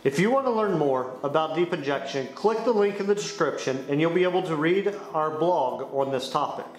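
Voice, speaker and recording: A young man with a slightly high voice delivers a scripted explainer as a company presenter. He speaks to camera in a small studio, and the room echo is clearly audible around his words. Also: expressive, brisk, soft background music. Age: young adult. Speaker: sales director presenting a company explainer video. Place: small indoor studio with dark wall panels. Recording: roomy echo on the voice.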